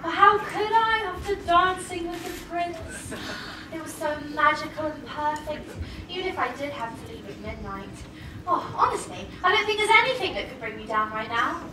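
A woman's voice: sits high, lively intonation, normal speed, background noise. A woman playing a sweet, dreamy young pantomime heroine, gushing and wide-eyed on a live stage. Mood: excited, dreamy. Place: theatre stage. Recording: echoey theatre, distant mic, music behind.

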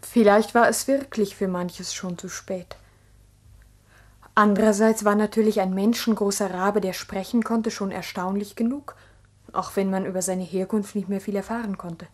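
Woman as village schoolteacher, husky mid-pitched voice, earnest, reflective, deliberate, 1960s radio play narration. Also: fairly even intonation, a little mellow, lightly acted. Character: earnest, ambitious village schoolteacher recounting events. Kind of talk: 1960s German-language radio drama narration.

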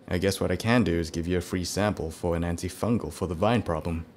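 Deep-voiced, dismissive doctor. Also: male voice, brisk, darkish tone, slight background noise. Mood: bemused, dismissive. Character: dismissive, bemused male doctor. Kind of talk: motion comic voice acting.

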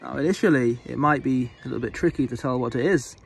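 A man's voice: medium pitch, fast, gravelly, slight background noise. A young man from England, a fossil hunter, narrating a casual vlog on a rocky beach and explaining his finds with enthusiasm. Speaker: fossil hunter, channel host.

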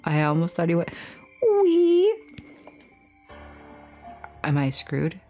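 A woman's voice: sits low, level tone, a little fast, a little mellow, faint music behind. A woman playing through a horror game, reading text aloud and commenting on it.

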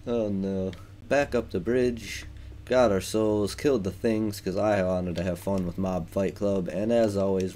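A man's voice: deep voice, mellow, a little hiss or hum. A man giving casual, rambling let's play commentary on a video game, with a wry manner. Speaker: let's player.

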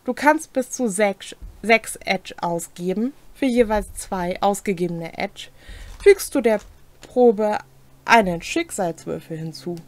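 A woman's voice: medium pitch, animated, slow, darkish tone. A young adult woman co-hosting a tabletop game explainer, reading scripted rules aloud in a room at home. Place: small home room, indoors.

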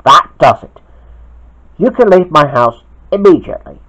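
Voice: medium pitch, wildly swooping pitch, slow, a bit bright, voice pushed up, heavily acted. A performer voicing a cross, sad, bossy boy in a children's story, with emphatic exclaiming delivery. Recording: dull lo-fi recording.

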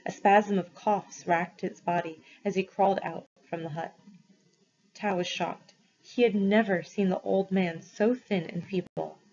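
A woman's voice: medium pitch, steady tone, unhurried, darkish tone, lo-fi sound. A woman reading a story aloud expressively, as a teacher does for students.

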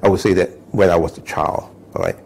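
A man in his fifties from Malaysia, sitting indoors, telling his life story thoughtfully with many pauses and "all right?" checks. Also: low-pitched, fairly even intonation, vocal fry, a little mellow, husky. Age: 50s. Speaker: interviewee. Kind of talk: personal testimony, unscripted. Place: indoor living room, seated on sofa.

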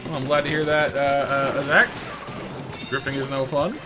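A young man with a slightly raspy voice from a recent cold, hosting a casual live game stream with viewers and often stammering over repeated words. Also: on the high side, moderate pace, music in the background. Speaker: livestream gaming host.

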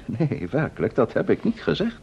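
Radio drama: composed, guarded gentleman detective, Dutch accent, swooping intonation, husky. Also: mid-range voice, huge pitch swings, normal speed, full character voice. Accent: Netherlands Dutch. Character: composed, evasive male amateur detective and writer. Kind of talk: old-fashioned Dutch radio play acting. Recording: dull, muffled old radio recording.

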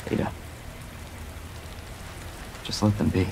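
A man's voice: slow, warm tone, lightly acted. An actor playing an earnest, persistent young male police officer in a video game, with a questioning manner. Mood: earnest, firm. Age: young adult. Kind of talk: dramatic video game acting. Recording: rain and ambient noise behind.

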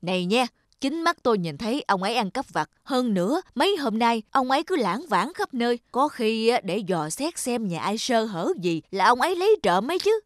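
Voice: medium pitch, sing-song, moderate pace, no room sound, clean studio sound, natural voice. An actor voicing a gossiping, hot-headed village man in a cartoon folktale, blustering and insistent. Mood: accusing. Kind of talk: Vietnamese cartoon folktale dubbing.

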